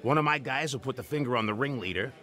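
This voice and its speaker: An actor playing a dapper, deadly racketeer, clipped and menacing, in a radio drama. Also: male voice, mid-range voice, quick pace, sharp tone, natural voice. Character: quiet, deadly, dapper slot-machine racketeer.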